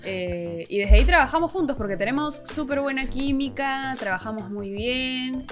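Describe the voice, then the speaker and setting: A woman's voice: high voice, animated, normal speed. A young woman co-hosting a channel, talking in casual unscripted chat. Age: young adult. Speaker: co-host of a two-person channel. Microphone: wired earphones. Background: background music.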